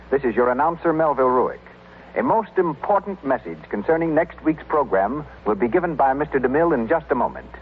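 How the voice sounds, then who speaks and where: A man's voice: mid-range voice, phone-quality sound. An American man working as a 1930s radio announcer, reading a script in a formal, polished way. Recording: thin, faintly hissy 1930s radio recording.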